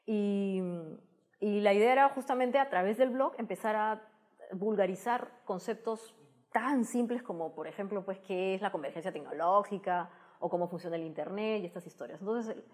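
A woman's voice: medium pitch, normal speed, darkish tone. A woman with a Peruvian Spanish accent giving explanatory, conversational interview answers, often ending phrases with a tag question. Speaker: interview guest, science communicator.

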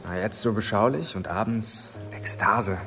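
An actor playing a cynical big-city journalist in a radio drama, wry and blunt in a colloquial way. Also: male voice, lowish voice, unhurried. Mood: scornful, teasing. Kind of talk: naturalistic German radio drama dialogue. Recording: music bed behind the voice.

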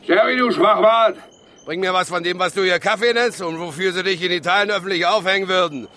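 An actor playing a foul-mouthed, choleric film director in a comic radio drama, cursing and bullying in bursts. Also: male voice, high voice, brisk, pushed and bright. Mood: furious. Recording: music playing behind the voice.

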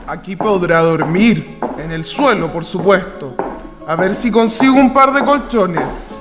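An actor playing an overbearing, self-important host obsessed with cleanliness in a radio drama. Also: male voice, sits high, expressive, a little slow. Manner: commanding, pompous. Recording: long reverb, music underneath.

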